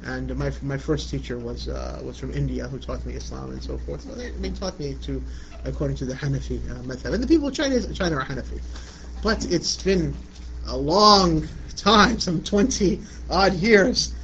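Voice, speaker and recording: Middle-aged man from Iraq, conversational lecturer, slightly high voice, dull lo-fi sound. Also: sing-song, normal speed, fairly bright tone. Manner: conversational, repeats "you know what I'm saying". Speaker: Islamic lecturer. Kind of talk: religious lecture answering a question. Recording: muffled lo-fi recording with light background noise.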